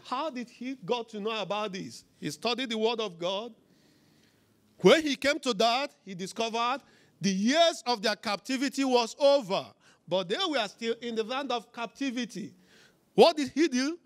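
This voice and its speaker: A middle-aged man preaching a sermon as a pastor, emphatic and deliberate, in short repeated phrases into a handheld microphone. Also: very high voice, expressive, a bit bright. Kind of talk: sermon with testimony and scripture. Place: church auditorium, on stage before a congregation.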